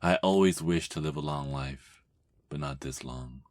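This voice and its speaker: A man playing a weary, brooding centuries-old vampire who reads his diary in a measured dramatic monologue for an ASMR roleplay. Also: very deep voice. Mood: melancholy.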